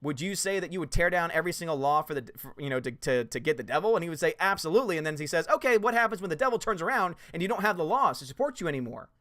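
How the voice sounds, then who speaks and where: A man's voice: a little high, rapid-fire, hardly any pauses, no room sound, studio quality. A man hosting a casual livestream, reading out viewer comments and putting on mocking voices. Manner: animated, puts on mocking voices. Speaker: host reading live chat.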